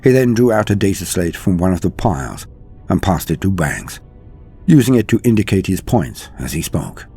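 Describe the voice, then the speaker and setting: A man narrating a scripted science fiction war story in a husky, dramatic storytelling style. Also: deep voice, very animated, moderate pace, warm tone. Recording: music behind the voice. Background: music.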